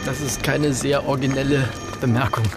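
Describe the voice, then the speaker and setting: An actor playing a reserved, stubborn young Englishman in a German radio drama, speaking in short, polite, deadpan phrases. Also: medium pitch, fairly quick, over music, close to an everyday voice. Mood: dry, aloof.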